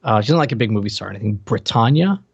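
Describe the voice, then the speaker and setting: A man's voice: fairly low pitch, lively intonation, very fast, vocal fry, husky, dull audio. A man co-hosting a podcast in loose, unscripted pop-culture chat with a joking streak. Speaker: podcast co-host.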